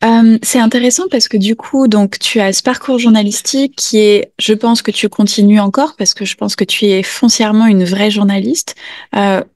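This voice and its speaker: A woman's voice: medium pitch, fairly even intonation, moderate pace, a little mellow, no echo, studio quality. A woman hosting a casual, reflective podcast interview, meandering as she searches for her words.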